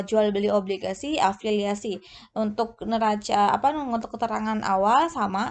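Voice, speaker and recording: A woman with a fairly high voice explains material as a tutor. Her delivery is informal and instructional, moving step by step with a slightly hesitant, halting flow. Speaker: accounting tutor.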